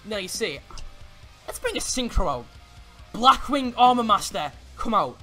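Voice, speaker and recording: Bright, animated young man playing cards. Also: sits high, a little slow, soft background music. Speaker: player in a card-game roleplay. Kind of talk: casual gameplay roleplay chat.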